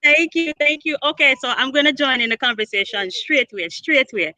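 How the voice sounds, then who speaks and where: Lively bright Jamaican woman on a call. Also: high voice, fairly quick, poor audio. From born in New York, raised partly in Jamaica. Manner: lively, repeats phrases, mixes in patois. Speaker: recording artist guest. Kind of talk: casual online chat.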